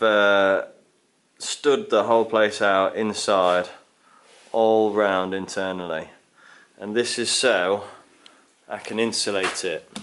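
Young male DIY vlogger, low voice, slow and explanatory, handheld camera in a stone barn. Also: fairly bright tone. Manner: explanatory, thinking aloud with fillers. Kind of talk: casual walkthrough narration. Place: indoor barn under construction, stone walls. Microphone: handheld camera at arm's length.

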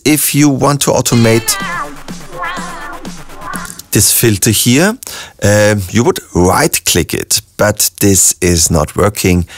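A young man with an Austrian German accent, a music producer calmly walking through a software tutorial step by step with frequent ums. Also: on the low side, no room sound, studio quality. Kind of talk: screen-recorded software tutorial.

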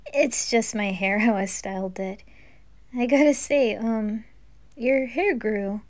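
A woman voicing a sweet, caring, bashful teenage anime boy in a gentle, hesitant way for an amateur roleplay. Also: a little high, slow.

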